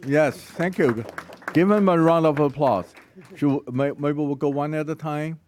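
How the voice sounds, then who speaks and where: A man moderating a hearing, introducing speakers in a halting, friendly way with many hesitations. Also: on the high side, a little mellow, slight background noise.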